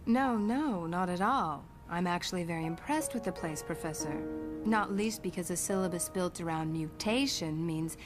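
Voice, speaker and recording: An educated middle-aged mother, played by a woman, low in pitch. She is polite and articulate but reserved, as in an animated comic. Loud music plays behind her voice. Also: moderate pace, lightly acted. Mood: hesitant. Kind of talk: motion comic voice acting.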